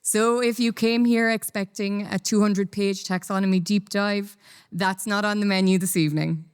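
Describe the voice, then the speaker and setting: A woman from Ireland with an Irish accent giving a lively, conversational conference talk. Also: mid-range voice, moderate pace, clear, resonant tone. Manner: lively, self-deprecating, conversational. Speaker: conference speaker. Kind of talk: conference presentation. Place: conference room.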